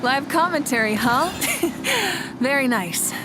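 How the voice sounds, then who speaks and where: A woman's voice: high voice, very animated, takes it slowly, background noise, heavily acted. An actress playing a confident, playful young woman warrior in an anime game, self-assured and composed. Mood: amused, ready.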